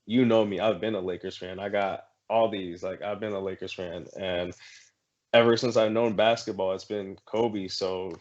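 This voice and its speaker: A man from Haiti in casual podcast talk, speaking haltingly and emotionally. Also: sits low, steady tone, dull audio. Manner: emotional, halting, searching for words. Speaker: podcast guest. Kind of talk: casual chat.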